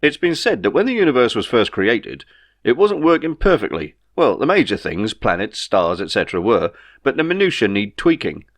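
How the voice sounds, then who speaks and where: A man's voice: mid-range voice, sing-song, moderate pace. A man voicing an easygoing, know-it-all spaceship pilot in an audiobook, chatty and reassuring. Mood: explaining, matter-of-fact. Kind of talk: audiobook character dialogue.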